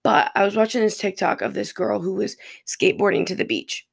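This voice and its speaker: A raspy, fairly deep-voiced person from Ohio, hosting a podcast in a loose, profane, rambling monologue. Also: fairly even intonation, brisk, dry recording, studio quality. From Ohio, USA. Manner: loose and profane, drifting between topics. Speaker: podcast host.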